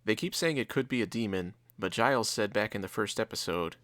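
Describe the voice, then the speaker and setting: A man's voice: fairly low pitch, fairly quick, fairly bright tone, no echo. A man co-hosting a review, casually recapping and commenting on a TV episode. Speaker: reviewer, co-host.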